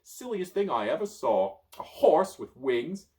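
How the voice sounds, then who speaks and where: A man's voice: fairly high pitch, very animated, unhurried, dark tone, voice pushed up. A man voicing a grouchy, boastful old male wizard stuck in a bush, reading a storybook aloud. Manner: pompous, cranky, sneezy.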